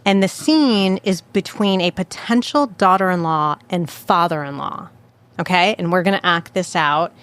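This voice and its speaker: A woman hosting a podcast in casual chat, bubbly and quick to praise her guest. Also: fairly low pitch, fairly bright tone. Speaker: podcast host.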